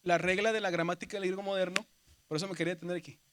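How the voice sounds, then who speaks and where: A man's voice: sits high, steady tone, rapid-fire, fairly bright tone, a little hiss or hum. A man from Mexico with a Mexican Spanish accent, teaching a seminary class, who explains step by step, keeps checking with "¿verdad?" and calls his students brothers. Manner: addresses students as "hermanos". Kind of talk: classroom lecture.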